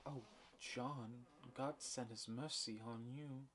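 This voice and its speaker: A man's voice: mid-range voice, steady tone, takes it slowly, a bit bright, a little hiss or hum, voice pushed up. A man voicing a frail, devout old woman who refuses to tell a lie, in a read-aloud play. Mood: pleading. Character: frail old woman, gentle but unbending.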